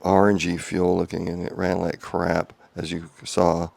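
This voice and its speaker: A man giving a casual update video from his home garage, talking quietly in a monotone with many ums and restarts, on a small clip-on mic. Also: low-pitched, unhurried, gravelly, no echo. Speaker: home car restorer. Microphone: small clip-on wireless mic.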